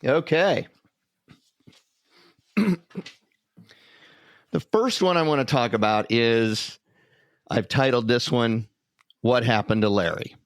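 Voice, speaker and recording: A man with a medium-pitched voice talks as a podcast co-host. He tells things in a casual, conversational way, recorded dry with very little room sound. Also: slow, long pauses, no echo. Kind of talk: casual chat and storytelling.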